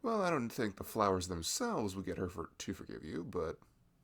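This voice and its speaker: Young man, deep gravelly voice with lively swings, giggly chatty shopkeeper roleplay. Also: moderate pace. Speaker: ASMR roleplay creator. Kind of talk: scripted audio roleplay, chatty.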